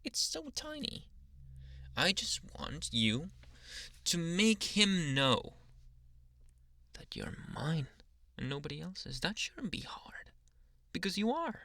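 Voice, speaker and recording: A man playing a jealous, dominant anime boyfriend in an ASMR roleplay, teasing and menacing, with a deliberate delivery that dips into whispers. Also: on the high side, wildly swooping pitch, bright, forceful tone, no room sound, full character voice. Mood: possessive, demanding.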